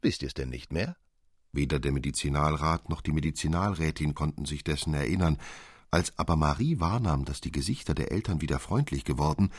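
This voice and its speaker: Male narrator, very low husky voice, dry studio sound, expressive literary storytelling. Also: a little fast, no room sound. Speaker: narrator reading a literary fairy tale.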